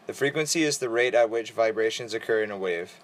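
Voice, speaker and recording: A teenage boy reading vocabulary and equations aloud for a school presentation, in a steady, orderly way. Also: fairly low pitch, fast talker. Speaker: student presenter.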